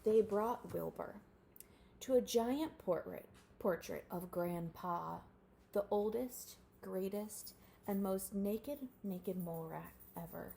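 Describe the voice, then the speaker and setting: A woman reading a storybook aloud to children expressively, with animated asides to her listeners. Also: medium pitch, takes it slowly, creaky, a little hiss or hum. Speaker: storybook reader.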